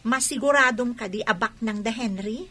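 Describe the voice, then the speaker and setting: A woman's voice: fairly high pitch, moderate pace, bright, forceful tone. A woman with a Filipino accent playing a blunt, scolding, teasing friend in a comedy radio drama.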